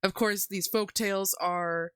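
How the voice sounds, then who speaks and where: A woman hosts a stream with casual, rambling commentary. Her delivery is jokey and giggly at a medium pitch, recorded close and dry. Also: fairly even intonation, a little slow, a bit bright, clean tone, no room sound. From Canada. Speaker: livestream host. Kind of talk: casual commentary on folk tales.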